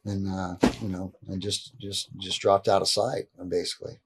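Middle-aged man, husky, lowish voice; rambling interview storyteller, animated, restarts often. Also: moderate pace. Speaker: interview guest. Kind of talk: casual storytelling in a shoot interview. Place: indoor room, seated on a leather couch.

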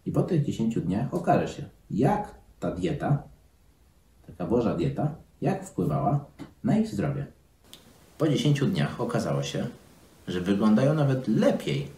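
Deep-voiced man explaining haltingly, roomy sound. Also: darkish tone. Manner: halting, simply worded, explanatory. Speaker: presenter telling a Bible story to children. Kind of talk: unscripted storytelling for children. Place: small office, seated at desk. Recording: roomy indoor echo.

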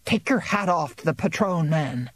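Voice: medium pitch, lively intonation, normal speed, dry recording, lightly acted. A reader voicing a fat, blustering male bailiff who bullies and bluster.

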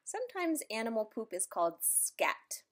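A woman reading a children's picture book aloud, adding playful, expressive asides for young listeners. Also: fairly high pitch, normal speed. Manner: playful, expressive, simple child-directed delivery with exclamations. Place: indoors in a room at home.

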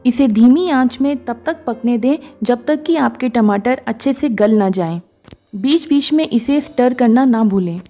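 A woman calmly narrating a cooking recipe step by step as a voice-over, slipping English words into her sentences. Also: on the high side, unhurried. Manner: calm, instructive, mixes English words into Hindi. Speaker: cooking show host. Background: soft background music.

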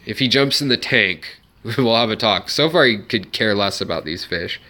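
A man's voice: on the low side, animated, moderate pace, sharp tone. A young man with a stuffed-up nose, chatting casually and rambling on a home live stream beside his fish tank. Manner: rambling, chatty, many fillers. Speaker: aquarium channel host.

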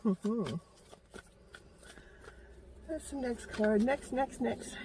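A woman's voice: a little high, drawn-out words, background noise. A middle-aged woman giving an informal, rambling tarot reading, giggly and exclamatory, often repeating her phrases. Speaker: tarot reader hosting a show. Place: inside a parked car. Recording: slightly distant in-car microphone.

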